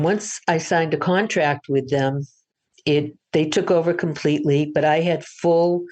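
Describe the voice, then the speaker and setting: A woman's voice: deep voice, a little mellow. An older woman from Boston, an author, chatting through her interview answers in a storytelling way, with frequent restarts. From Boston, USA.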